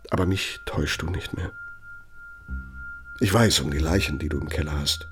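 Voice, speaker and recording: An actor playing an older, proud and bitter architect in a radio play, self-justifying in a measured, self-righteous way. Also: male voice, rumbling low, music in the background. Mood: reproachful, bitter. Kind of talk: naturalistic radio play monologue and dialogue.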